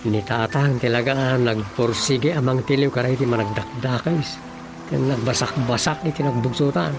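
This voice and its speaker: A man's voice: mid-range voice, normal speed, natural voice. A man with a Filipino accent playing a village chairman's proud, confident son in a radio drama. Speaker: actor. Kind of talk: Ilocano radio drama acting. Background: music.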